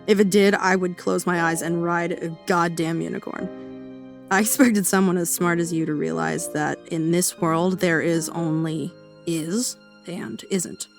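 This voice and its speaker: A woman's voice: fairly low pitch, steady tone, measured pace, creaky, soft background music. A woman acting a brash, foul-mouthed believer in an audio drama, blunt and sarcastic. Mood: defiant, heated.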